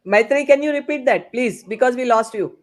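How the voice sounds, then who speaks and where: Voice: mid-range voice, fairly quick. A host moderating a live online panel over a video call, speaking in an informal, chatty and somewhat hesitant way.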